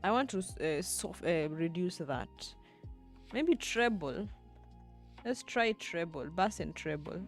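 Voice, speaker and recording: A young woman in her twenties, live-streaming as she edits and chatting with viewers, thinking aloud with soft laughs. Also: lowish voice, lively intonation, takes it slowly, faint music behind. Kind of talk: livestream, talking to chat while editing.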